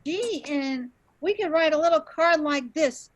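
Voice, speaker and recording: A woman's voice: high-pitched, expressive, unhurried, no room sound, highs cut off. A woman playing a helpful, peppy big sister in her teens in an amateur read of a radio commercial. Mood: earnest, peppy. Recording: slightly noisy video call, clicks.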